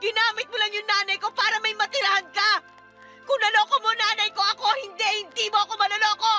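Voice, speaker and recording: An actress playing a furious, unforgiving young stepdaughter with a Filipino accent, emphatic and scornful in a radio drama. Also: female voice, very high-pitched, flat delivery, fast, sharp tone, full character voice. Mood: defiant, vindicated. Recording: music under the voice.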